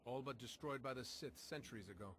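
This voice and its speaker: A man acts as a steady heroic commander in a game cutscene. His voice is of average pitch, and he speaks plainly, calmly and politely. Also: a little fast, a little hiss or hum, close to an everyday voice. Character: steady, earnest male commander and hero. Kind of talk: video game cutscene acting.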